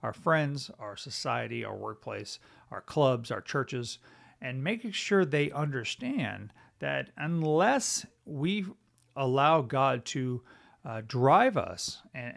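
Gravelly middle-aged man teaching scripture. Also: medium pitch. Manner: conversational, thinking aloud with frequent "uh" and "right?" tags. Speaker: podcast host and Bible teacher. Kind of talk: casual Bible teaching podcast.

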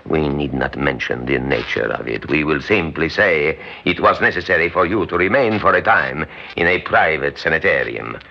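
An actor playing a solemn, self-assured learned schemer with a measured, formal manner, in an old radio drama recording with hiss. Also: male voice, extremely low pitch, vocal fry. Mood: reassuring, solemn. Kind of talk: 1960s radio drama acting. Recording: dull, hissy vintage radio recording.

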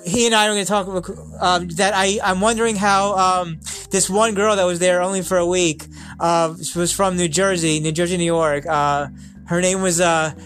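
An American man hosts a casual video call, speaking slowly and deliberately and often repeating himself. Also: high voice, fairly even intonation, fairly bright tone, faint music behind, rough recording. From United States. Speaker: host.

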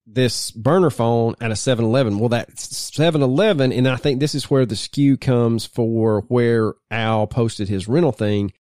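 A man co-hosting a podcast, telling the details of a true crime case in a measured storytelling way. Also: mid-range voice, a little mellow, no echo, studio quality. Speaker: podcast co-host.

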